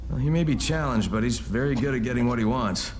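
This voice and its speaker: An actor playing a pragmatic, wry undercover operative in a video game, casual and direct. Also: male voice, medium pitch, quick pace, slight background noise, natural voice. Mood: wry, exasperated. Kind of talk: video game cutscene acting.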